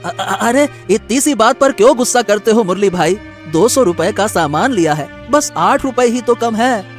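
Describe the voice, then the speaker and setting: A man's voice: high-pitched, animated, moderate pace, faint music behind. A man playing a hard-up village customer in a cartoon story, hesitant and coaxing. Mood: placating.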